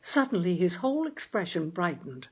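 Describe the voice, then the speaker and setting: A woman's voice: on the low side, big pitch swings, normal speed, breathy edge, poor audio. A woman reading a literary short story aloud as scripted narration. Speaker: story reader.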